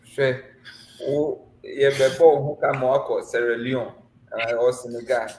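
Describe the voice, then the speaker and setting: A man's voice: mid-range voice, little pitch movement, measured pace, darkish tone, slight background noise. A man coaching a student for a mock visa interview over a video call, teasing and laughing often but encouraging. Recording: echoey room with faint ambience.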